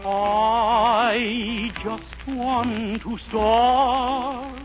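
A woman's voice: on the high side, little pitch movement, very slow, faint music behind. A woman singing a sentimental popular ballad in 1940s radio style. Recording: muffled old radio broadcast.

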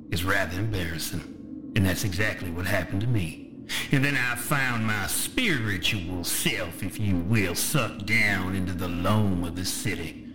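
A man voicing a chatty, menacing undead rotting monster with a folksy Southern drawl, musing at length in a tabletop game. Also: low voice. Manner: folksy, drawn-out musing monologue.

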